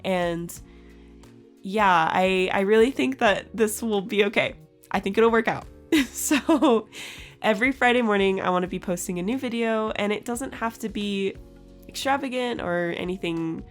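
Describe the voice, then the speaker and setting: A woman in her twenties with a raspy, scratchy just-woke-up voice, chatting casually in a voice-over for her art channel and laughing often. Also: mid-range voice.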